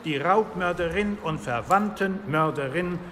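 An actor playing a stern, pedantic criminology professor, lecturing in a measured manner in a radio drama. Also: male voice, high-pitched, fairly bright tone. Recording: reverberant lecture hall, faint noise.

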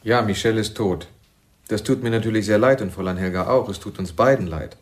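A man's voice: fairly low pitch, a little fast, lightly acted. An actor in a radio drama playing a suspicious, formal male militant interrogator with a clipped, demanding manner. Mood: formal condolence.

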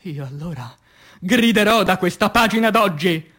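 A man playing an anguished, passionate revolutionary poet in a declamatory radio theatre recitation, with dramatic pauses and swings between hushed and loud delivery. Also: sits high, lively intonation. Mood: desperate pleading. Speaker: stage actor.

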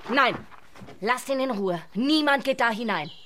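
A woman's voice: a little high, lively intonation, unhurried, pushed and bright, a little hiss or hum. An actress playing a distraught, guilt-ridden and stubbornly honest woman in a German radio drama, with a stammering, pleading delivery. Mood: agitated, refusing.

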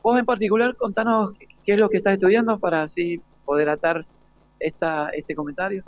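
Argentine guy hosting a radio interview, pretty high voice, bit muffled live broadcast sound. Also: steady tone, a little fast, no echo. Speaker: radio host interviewing guests. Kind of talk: live informal panel interview. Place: live outside broadcast at a school, dry sound.

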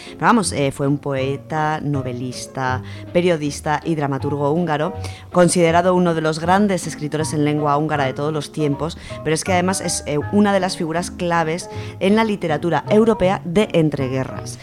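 Aragonese woman doing a book bit on the radio, chatty, lots of ums, quiet music under her. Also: deep voice, normal speed. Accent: Aragonese Spanish. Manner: chatty, explanatory, with many fillers. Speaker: radio section presenter. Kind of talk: casual radio literary segment.

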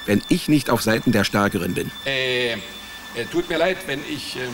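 An actor playing a wry, hapless male private detective, deadpan in his narration and stammering when cornered, in a radio drama. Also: medium pitch, normal speed, noisy background, close to an everyday voice. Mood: nervous, stammering. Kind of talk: radio drama, first-person hardboiled comic narration.

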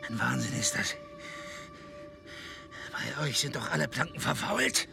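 An actor playing an exhausted, rebellious seaman who rants sarcastically and shouts in a radio play. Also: mid-range voice, big pitch swings, measured pace, gravelly, loud music behind the voice, exaggerated voice. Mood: furious, defiant. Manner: ranting, sarcastic, shouting outbursts. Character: furious rebellious exhausted seaman in polar expedition.

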